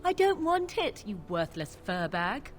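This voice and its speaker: A woman's voice: mid-range voice, sing-song, unhurried. An actress playing a haughty, scornful witch in a video game, with a cutting, disdainful delivery. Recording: game audio with faint noise.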